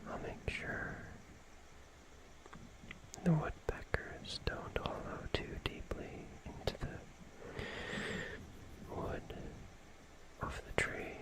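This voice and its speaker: Slow whispery asmr host, all breath no voice, soothing bedtime stuff, repeats words softly. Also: rough recording.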